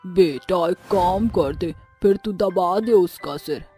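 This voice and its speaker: A man's voice: high-pitched, takes it slowly, warm tone, soft background music. A man voicing a forgetful, demanding elderly mother-in-law in a cartoon.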